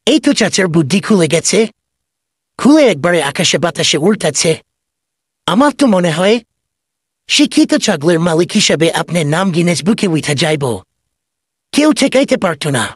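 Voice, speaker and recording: A man acts out a sly cartoon goat thief. His voice is husky and swings up and down as he pours out glib salesman patter. The sound is dry and close. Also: high-pitched, sing-song, moderate pace, pauses often, dry recording, full character voice. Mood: flattering. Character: sly, fast-talking male goat thief and swindler. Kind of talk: comedy cartoon voice acting.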